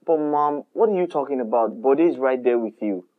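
An easygoing young man with a Nigerian accent, his mid-pitched voice rising and falling a lot, as an actor plays him. He soothes and smooths things over. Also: animated, fairly quick, phone-quality sound, natural voice. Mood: apologetic. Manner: placating, laughing things off.

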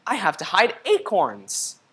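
A man's voice: sits high, wildly swooping pitch, a little slow, pushed and bright, slightly rough, pitched above the performer's own voice, exaggerated voice. A young man voicing a busy squirrel in a children's storybook, matter-of-fact in delivery.

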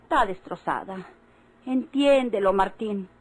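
A woman's voice: medium pitch, measured pace, a little mellow, husky, rough recording. An actress playing an emotional, pleading Mexican mother and wife in an old-time radio melodrama. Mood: gentle pleading. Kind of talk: golden-age radio drama acting.

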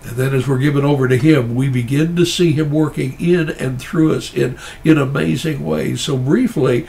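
A middle-aged man, a pastor with a stuffy nose, preaching an earnest, measured sermon and often restarting his phrases. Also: mid-range voice, vocal fry, a little mellow, a little hiss or hum.

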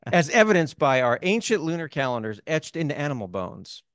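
A man's voice: a little high, brisk, smooth. A middle-aged man co-hosting a company town hall in a studio, cracking jokes between scripted lines into a handheld mic. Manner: jokey, animated, quips between scripted lines. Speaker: co-host. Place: studio set in front of a screen.

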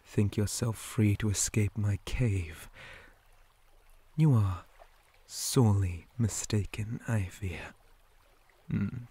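A man voicing a menacing, possessive male spider monster in an ASMR roleplay, breathy and often whispering, with slow, softly taunting, formal speech. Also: lowish voice, heavily acted.

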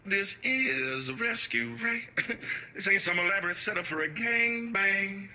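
An actor voicing a flamboyant, slangy, joking pimp through an autotune effect, in a video game. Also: male voice, high-pitched, lively intonation, a little slow, sharp tone, slight background noise, heavily acted. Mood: amused. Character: flamboyant, jokey male pimp, slick street-smart hustler. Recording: music behind dialogue.

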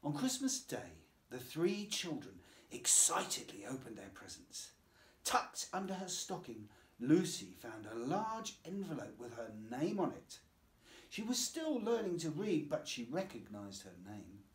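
Expressive, slow-paced male children's storyteller. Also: fairly high pitch. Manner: measured, expressive. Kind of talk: scripted children's story narration. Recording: roomy, echoing voice.

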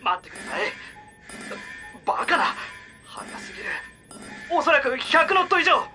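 A man playing a young submarine crewman in an audio drama, tense, giving crisp military reports. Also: extremely high pitch, very animated, normal speed, sharp tone, faint music behind, heavily acted. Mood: alarmed. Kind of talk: Japanese audio drama acting.

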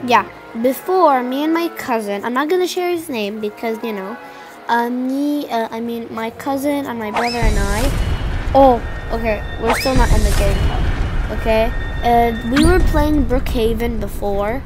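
Young teen girl, high voice, mild cold, rambling gameplay chatter over game music. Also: moderate pace. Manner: chatty, rambling, addresses viewers directly. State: slightly sick with a cold. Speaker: gaming channel co-host. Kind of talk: casual gameplay commentary.

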